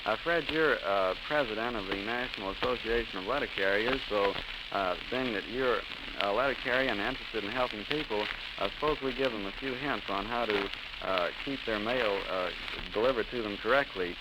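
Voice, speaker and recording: American guy hosting an old 1930s radio interview, kinda halting and polite, super crackly noisy recording. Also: fairly low pitch.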